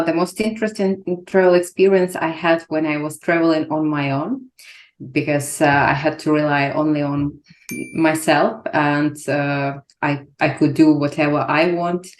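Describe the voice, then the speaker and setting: Woman candidate, hesitant, low voice, echoey room. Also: little pitch movement, a little slow. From Saint Petersburg, Russia. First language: Russian. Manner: hesitant, many fillers. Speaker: exam candidate.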